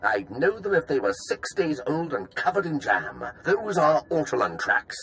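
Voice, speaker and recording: A male actor playing an enthusiastic, scholarly military lieutenant in a video game, chatty, expressive and polite. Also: a little high, moderate pace, a little hiss or hum, poor audio. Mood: excited expertise.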